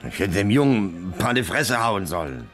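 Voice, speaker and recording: Resentful middle-aged male suspect in radio crime drama; deepish, gruff, highly animated, defiant. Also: fairly quick, soft background music. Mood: bitter.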